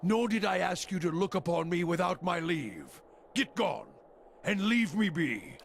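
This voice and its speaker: An actor playing a bitter, fanatical older fire priest in a fantasy game, gravelly and scornful, preaching in slow pronouncements. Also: male voice, high voice, big pitch swings, slight background noise, full character voice. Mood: curt, angry.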